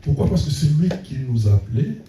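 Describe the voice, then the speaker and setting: A man's voice: medium pitch, very animated, fairly quick, warm tone, a little hiss or hum. A man preaching a sermon as pastor, punctuating his points with frequent calls of amen. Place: church hall. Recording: echoey church room, voice from a distance.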